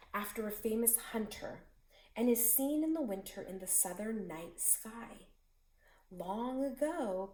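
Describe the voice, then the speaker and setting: A woman reading a children's picture book aloud as a teacher, in a slow and expressive storytelling manner. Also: medium pitch, echoey room, rough recording.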